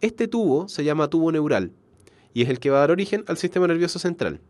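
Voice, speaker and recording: Man, slightly high voice, nasal with a cold, biology lecturer, explanatory, self-correcting, dry close sound. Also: animated, fast, darkish tone, dry recording. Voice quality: nasal, congested. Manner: explanatory, frequent self-corrections and check-in tags. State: has a cold. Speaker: biology teacher. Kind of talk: live online lecture.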